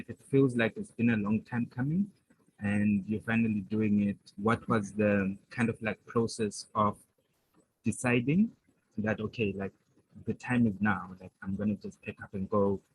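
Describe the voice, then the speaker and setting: A man with a lowish voice hosts a podcast interview, talking loosely and filling his questions with fillers. A faint noise sits under his voice. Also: fairly even intonation, moderate pace, poor audio. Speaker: podcast host. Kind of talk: casual interview talk.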